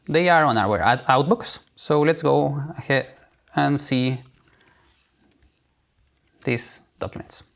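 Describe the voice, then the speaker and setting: Male software presenter, mid-range pitch, creaky edge, informal step-by-step demo delivery. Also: lively intonation, slow pace, long pauses. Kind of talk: live software demo walkthrough.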